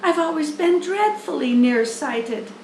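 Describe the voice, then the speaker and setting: A woman plays a tiny, composed lady spider in a children's storybook. Her voice is high and thin, measured and slow, with genteel candor. It rings in an echoey room. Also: animated, darkish tone, slight background noise. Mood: composed, self-assured. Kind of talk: children's storybook character reading.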